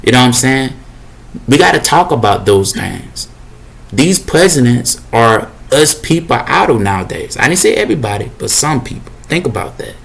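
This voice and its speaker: A young man with a deep voice talks straight to his listeners in a loose, preachy monologue. He circles back on his own phrases and leans on slang fillers as he goes. His pitch sits in an ordinary middle range for a man. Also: very animated, moderate pace, gravelly, a bit bright. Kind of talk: rambling motivational monologue.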